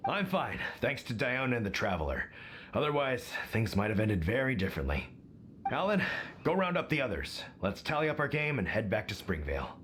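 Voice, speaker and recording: A man's voice: medium pitch, big pitch swings, brisk, fairly bright tone, a little hiss or hum. A man playing a sheepish, placating middle-aged hunter father who likes his drink in a video game. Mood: relieved, practical.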